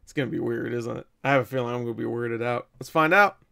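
A man's voice: mid-range voice, fast talker, creaky, pushed and bright, smooth. A young man giving casual reaction commentary, exclaiming, swearing and tossing in joking asides. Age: young adult. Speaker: reaction video host. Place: small indoor room at home.

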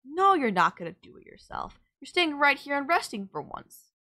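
A woman's voice: sits high, sing-song, moderate pace, pushed and bright, heavily acted. A woman playing a sardonic yet caring female villain in an audio roleplay, blunt, teasing and exasperated. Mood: exasperated, bossy. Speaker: voice actor. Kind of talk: audio roleplay drama, intimate one-sided dialogue.

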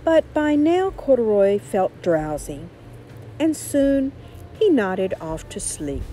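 A middle-aged woman reading a children's picture book aloud in an expressive, dramatic way, stretching key words. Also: medium pitch, slow, poor audio. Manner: animated, dramatic stretched words and character voices. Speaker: storybook reader.

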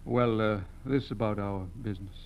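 An actor playing a nervous, reluctant garage owner mixed up in crime, hesitant and stammering, in a 1940s American radio drama. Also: male voice, lowish voice, steady tone, moderate pace, slight background noise, close to an everyday voice. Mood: uneasy. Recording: dull, humming old radio recording.

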